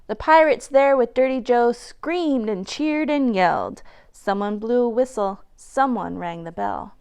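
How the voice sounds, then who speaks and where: Clear, high-voiced woman reading a children's story. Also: a little slow. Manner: expressive, dramatic storytelling. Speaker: library storytime reader. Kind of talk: animated children's rhyming picture-book reading.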